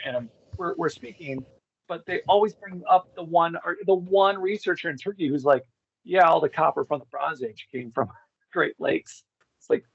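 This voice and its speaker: A man's voice: fairly high pitch, a bit bright, slightly rough, lo-fi sound. A man in a casual interview, rambling and thinking aloud as he talks about history as a guest.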